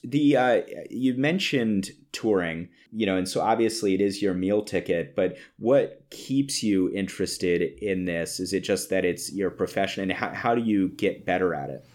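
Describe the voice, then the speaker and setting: Man, podcast host, very low pitch, reflective, anecdotal, conversational interview style. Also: moderate pace, hardly any pauses, darkish tone.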